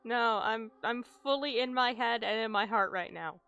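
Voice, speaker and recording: A woman livestreamer reacting casually to a game, speaking in a halting, emotional way. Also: a little high, gravelly, dull audio, noisy background. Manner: halting, emotional, swears freely. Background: game music.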